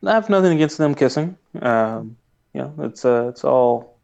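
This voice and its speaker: A male podcast co-host talks casually with a middle-pitched voice. He slips into little acted-out exchanges as he recaps a show. The sound is somewhat dull and lo-fi. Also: animated, normal speed, gravelly, a little mellow. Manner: acts out imagined dialogue. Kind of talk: casual chat reviewing a cartoon.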